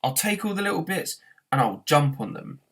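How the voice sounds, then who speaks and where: A man voicing an outraged, panicking man in a storybook, shouting with indignant spluttering. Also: a little high, big pitch swings, moderate pace, pitched above the performer's own voice, exaggerated voice. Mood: raging. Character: outraged, panicking man yelling as his house is demolished. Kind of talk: storybook character voice.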